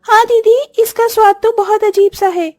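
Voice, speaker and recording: A very high, mellow little girl's voice, acted by a woman for a cartoon story. She is a poor younger sister who complains and pleads plaintively. The recording is close and dry. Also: steady tone, moderate pace, no echo. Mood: whiny, plaintive. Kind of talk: Hindi cartoon story voice acting.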